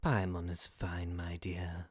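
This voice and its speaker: A man's voice: low voice, monotone, slow pace, breathy edge, dry recording, exaggerated voice. A man playing a suave, scheming demon who tempts with courteous, insinuating, formal speech in an audio drama. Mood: coaxing. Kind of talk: podcast audio drama acting. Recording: dry amateur home recording.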